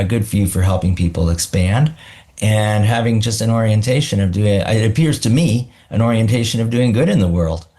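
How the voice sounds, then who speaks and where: Reflective man with a lower voice. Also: dark tone. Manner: reflective, searching for words. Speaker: podcast host. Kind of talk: casual interview conversation.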